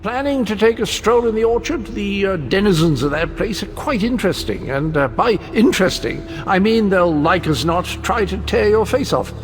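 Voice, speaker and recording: An actor playing an eccentric, cheerful adventurer in a video game, chatty and theatrical with a whimsically macabre streak. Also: male voice, high voice, lively intonation, normal speed, few pauses, faint music behind. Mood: wry warning. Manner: jaunty, rambling, theatrical delivery. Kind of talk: video game character acting.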